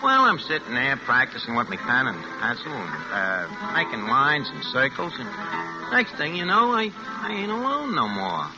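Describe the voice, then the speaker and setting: An actor in a 1940s-style radio drama playing a brash, streetwise New swindler, slangy and full of stammering bluster. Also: male voice, mid-range voice, wildly swooping pitch, normal speed. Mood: cocky. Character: brash, streetwise American swindler narrating his own scheme. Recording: dull vintage radio recording with music.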